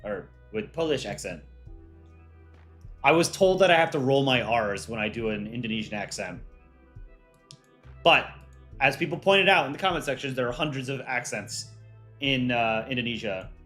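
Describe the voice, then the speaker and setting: A man's voice: mid-range voice, expressive, moderate pace, long pauses, a bit bright, faint music behind. A man hosting an art contest on a livestream, reading out viewer chat and answering it offhand in casual talk.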